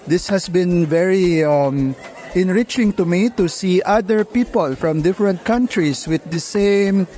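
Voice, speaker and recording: A man from the Philippines, a program fellow, giving a short recorded soundbite outdoors, pausing often with fillers. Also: sits high, dark tone. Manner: hesitant, many fillers.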